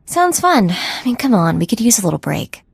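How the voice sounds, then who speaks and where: A woman's voice: mid-range voice, very animated, normal speed, gravelly, husky, no room sound, heavily acted. A young woman playing an upbeat, casual sidekick in a sci-fi video game, breezy and chatty. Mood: breezy, amused. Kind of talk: video game character acting.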